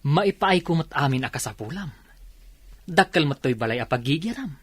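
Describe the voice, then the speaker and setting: A man plays a suspicious, controlling husband in a radio drama. His husky voice swings widely in pitch as he presses his wife, recorded close and dry. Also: animated, normal speed, no room sound. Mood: pressing, upset. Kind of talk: radio drama acting in Ilocano.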